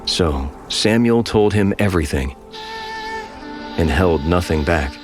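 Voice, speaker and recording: A man narrating scripture in a steady, measured storytelling voice for a dramatized audio Bible. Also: sits low, music in the background.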